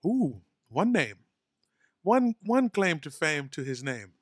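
A man co-hosting a podcast in casual comedic chat, cracking deadpan jokes. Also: on the high side, slow pace, no room sound. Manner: joking, deadpan asides. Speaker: podcast co-host.

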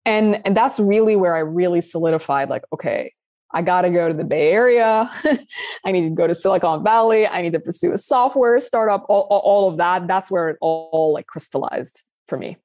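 Young woman guest, originally from Sudan, low voice, dry close mic, chatty and animated with frequent laughs. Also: normal speed, no echo. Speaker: guest founder. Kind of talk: casual interview chat.

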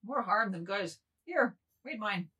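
Woman as a bright-voiced, meek, servile man. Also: medium pitch, animated, a little slow, slightly rough, heavily acted. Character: meek, servile middle-aged man, apologetic flunkey. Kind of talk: dramatised novel table reading.

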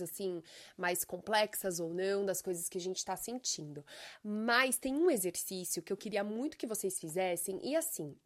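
Nasal, congested Brazilian woman chatting. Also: medium pitch. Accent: Brazilian Portuguese. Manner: chatty, expressive, full of fillers. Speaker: podcast host and life coach. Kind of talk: casual solo podcast monologue.

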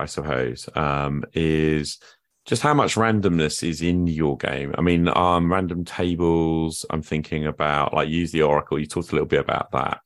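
A man's voice: rumbling low, no echo, studio quality. A man hosting a podcast, putting questions to a guest in a casual conversational style. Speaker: podcast host, interviewer. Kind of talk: casual interview, asking questions.

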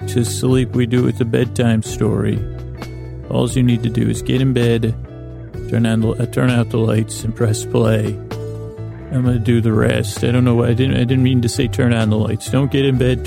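A man hosting a sleep podcast with a creaky voice, giving a meandering bedtime monologue in a lulling, halting way, often correcting himself. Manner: rambling, halting, self-correcting; soothing, lulling, low-key.

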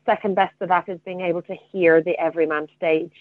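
A woman's voice: fairly low pitch, fairly even intonation, quick pace, no echo. A woman being interviewed as a theatre artistic director, speaking in an enthusiastic, reflective way over a phone-like line. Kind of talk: interview conversation. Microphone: remote phone or call.

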